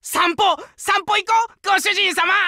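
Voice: very high-pitched, fairly even intonation, normal speed, sharp tone, no echo, studio quality, full character voice. An actor playing an eager, childlike, fiercely loyal wolf guard dog in an anime game, speaking in simple, exclaimed phrases. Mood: excited, eager. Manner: simple broken childlike speech, exclaiming, needy for praise. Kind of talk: anime game character voice lines.

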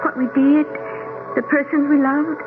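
A woman acting a gentle, affectionate dead wife's soul in a dreamy, measured 1970s radio drama style. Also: sits high, flat delivery, phone-quality sound, natural voice. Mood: tender, wistful. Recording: thin old radio broadcast, music underneath.

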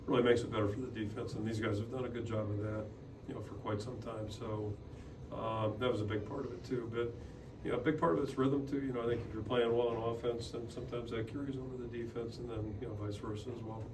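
An older man speaks as a head coach at a postconference podium, plain-spoken and full of restarts. His pitch stays flat and even. The room is echoey with steady noise behind, and the mic sits a little off. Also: fast. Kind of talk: postgame press conference answers. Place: press room in front of a logo backdrop. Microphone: podium microphone. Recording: roomy, slightly distant, noisy.

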